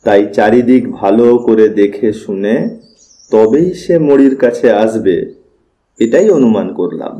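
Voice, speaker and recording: A man you'd hear on a late-night audiobook, unhurriedly telling a hunting tale in a deep-shaded, very soft-edged tone. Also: unhurried, lo-fi sound. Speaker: audiobook narrator. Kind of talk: scripted suspense story narration.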